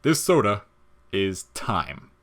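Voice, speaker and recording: A man's voice: lowish voice, big pitch swings, takes it slowly, a bit bright, heavily acted. A man voicing a wry, world-weary skeleton monster who talks in sardonic slang in an audio story, jolly on top and bitter underneath. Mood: casual, explaining. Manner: slangy, sardonic, explaining things casually.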